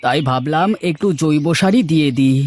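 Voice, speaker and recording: A man voicing a gentle, earnest young village farmer in a cartoon folk tale. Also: a little high, normal speed, natural voice. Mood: friendly, earnest.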